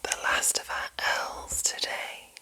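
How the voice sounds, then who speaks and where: Woman doing whispered asmr reading, really slow, breathy, lots of little pauses between phrases. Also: pushed and bright. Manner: slow, deliberate, pausing between short phrases. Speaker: ASMR host reading game monster entries.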